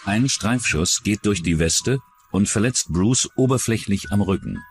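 A man's voice: fairly low pitch, animated, measured pace, soft background music. A man reading scripted true-crime documentary narration.

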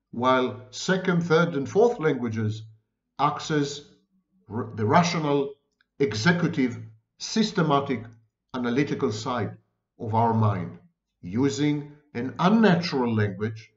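An emphatic man in his sixties lectures into a desk webcam, slow and measured, piling up synonyms. His room sounds roomy and the audio is dull and muffled. His voice sits at an ordinary middle pitch. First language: Hebrew. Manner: deliberate, emphatic, gesturing, lists many near-synonyms. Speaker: lecturer on psychology. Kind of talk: lecture-style monologue to camera. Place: home room indoors.

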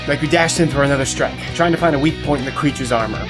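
A man's voice: medium pitch, quick pace. Male story narrator over guitar music.

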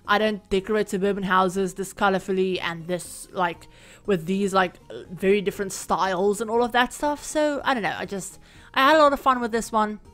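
A woman recording a casual, rambling voiceover for a home-building game video, her voice a little croaky from being sick. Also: medium pitch, steady tone, normal speed, a bit bright, faint music behind. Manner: rambling, chatty, many fillers. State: croaky from illness. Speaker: Sims build creator. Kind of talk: casual voiceover over gameplay.

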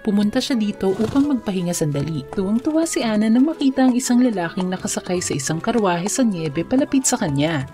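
A woman's voice: mid-range voice, sing-song, fairly quick, mellow. A woman reading scripted fairy tale narration for children. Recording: music under the voice. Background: background music.

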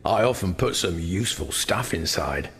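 Long reverb, cheeky streetwise informant, animated, teasing, video game. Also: male voice, normal speed. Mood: helpful, boastful. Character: chatty, cheeky male underworld informant. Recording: long reverb, faint background noise and rumble.

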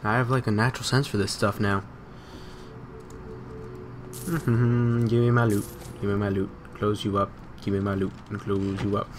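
A man's voice: lowish voice, little pitch movement, normal speed, long pauses, background noise. A man giving casual gameplay commentary, muttering to himself, repeating phrases and swearing. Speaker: gamer recording a let's play.

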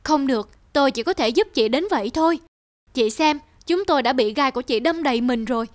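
A woman voicing a kind, protective mother snake in an animated fairy tale. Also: sits high, a little fast, no room sound, studio quality, lightly acted. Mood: firm. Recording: music under the voice.

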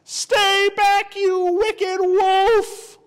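A man's voice: a little high, huge pitch swings, measured pace, in a large hall. Man doing a brave little girl bunny. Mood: fierce.

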